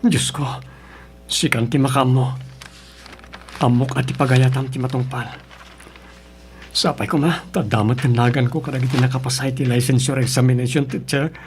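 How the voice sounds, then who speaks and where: A man's voice: medium pitch, fairly even intonation, normal speed, pauses often, faint music behind, lightly acted. An actor playing an earnest, apologetic man in his thirties, a dutiful son, with a pleading, hesitant manner in an Ilocano radio drama. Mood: anxious, hopeful. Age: 30s. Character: earnest, apologetic 35-year-old aspiring teacher, dutiful son.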